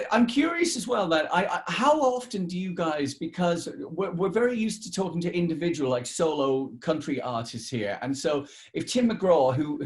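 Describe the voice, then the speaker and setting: A man hosting a casual radio interview, asking chatty, rambling questions. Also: on the high side.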